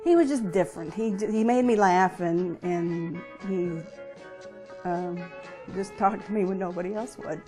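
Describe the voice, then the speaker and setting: An older American woman recalling personal memories in an interview, speaking in a plain, reflective, halting way. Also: fairly low pitch. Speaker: interviewee, veteran's wife. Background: music under the voice.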